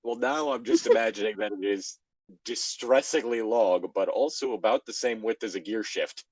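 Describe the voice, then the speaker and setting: Male co-host, low-pitched and dryly recorded, wry and exasperated, casual read-and-react delivery. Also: sing-song, moderate pace, creaky, husky, dry recording, rough recording. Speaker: co-host reading fan fiction aloud.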